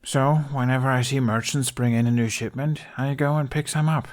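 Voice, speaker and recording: A man's voice: mid-range voice, pitched above the performer's own voice, close to an everyday voice. Man voicing an awkward, dry-witted young prince. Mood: guarded, earnest. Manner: hesitant, halting, deadpan.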